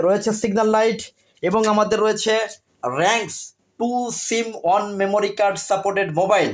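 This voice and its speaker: A man with a distinctly high voice and a Bangladeshi accent presents a sales pitch for electronics. He announces things deliberately, breaking them into short phrases and repeating key details. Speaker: product seller and presenter.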